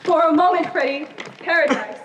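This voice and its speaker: A woman's voice: sits high, fairly quick, fairly bright tone, muffled recording. A teenage female student acting on stage as a poised, teasing Victorian lady. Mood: poised, tender. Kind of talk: high school stage play acting. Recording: echoey hall, distant mic, background noise.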